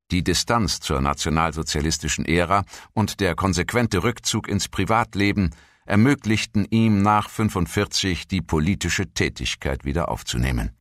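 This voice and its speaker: Low-pitched man narrating a documentary. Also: a bit bright, dry recording, clean studio sound. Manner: measured, formal read delivery.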